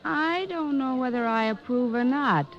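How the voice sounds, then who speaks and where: A woman's voice: high voice, unhurried. An actress playing a detective's exasperated but affectionate girlfriend, chiding him in old-time radio style. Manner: nagging, chiding. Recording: muffled old radio recording with music.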